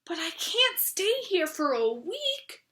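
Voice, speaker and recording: A woman voicing a gentle, anxious boy bear in a storybook reading, speaking slowly and plaintively. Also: very high voice, big pitch swings, pitched above the performer's own voice, full character voice. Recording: roomy echo.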